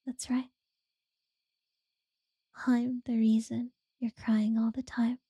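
A woman's voice: a little high, little pitch movement, no room sound, full character voice. A woman whispering as an obsessive captor. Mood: creepy tender. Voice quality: soft breathy whisper. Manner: slow, intimate, unsettlingly sweet. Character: obsessive possessive woman holding a captive. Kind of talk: whispered audio roleplay.

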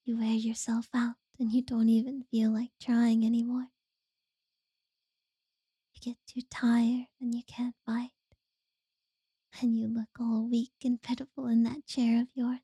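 A woman voicing an obsessive, possessive captor in a whispered audio roleplay, slow and unsettlingly sweet. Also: a little high, little pitch movement, no echo, full character voice. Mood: creepy tender. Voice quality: soft breathy whisper.

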